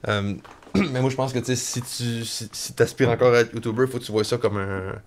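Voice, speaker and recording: A man with a Canadian French accent hosting a podcast, easygoing and quick to agree in casual chat. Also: mid-range voice, steady tone, slight background noise. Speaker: podcast host.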